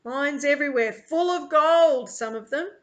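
A woman's voice: high voice, a little slow, fairly bright tone, voice pushed up. Woman putting on a hearty, boastful bloke. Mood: hearty, joking. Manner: chuckling, hearty, joking. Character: jovial, boastful Australian man, friendly truck worker.

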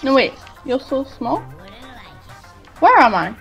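Excitable gamer girl chatting w friends, really high voice, swings all over, music behind. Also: slow, gravelly, breathy edge. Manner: excitable, exclamatory. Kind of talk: casual gaming chat with friends. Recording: music mixed over voice chat.

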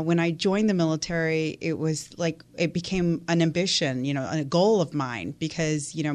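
A woman from Houston, Texas, a guest on a radio interview, telling her story in a chatty way with many fillers. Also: sits low. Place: radio studio.

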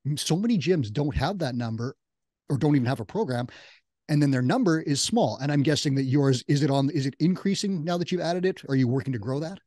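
A man's voice: on the high side, expressive, a little fast, mellow, dry recording, studio quality. A middle-aged man hosting a conversational business interview. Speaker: podcast host and interviewer. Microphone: boom-arm studio microphone with headset.

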